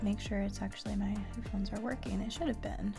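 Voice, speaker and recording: A woman's voice: medium pitch, fairly even intonation, a little fast, vocal fry. A woman chatting casually and thinking aloud, a bit distracted, as she streams a game. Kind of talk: casual chat while playing a game. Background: game music.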